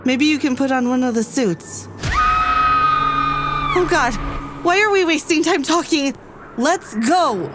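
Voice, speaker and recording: A woman's voice: high-pitched, animated, slow, long pauses, raised voice, loud music behind the voice. A woman playing an anxious, headstrong academic on a space crew in an audio drama, sweary and argumentative. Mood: urgent.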